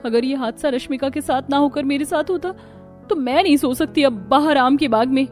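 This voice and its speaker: A woman's voice: high voice, brisk, darkish tone, soft background music, close to an everyday voice. A woman voicing a preachy, admonishing elder sister-in-law in a cartoon story. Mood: alarmed. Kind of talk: Hindi cartoon story voice acting.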